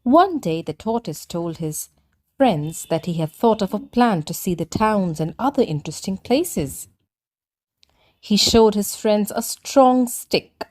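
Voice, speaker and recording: A woman storyteller reads a children's tale aloud. Her voice sits at a medium pitch, and she delivers each simple phrase deliberately. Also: animated, darkish tone.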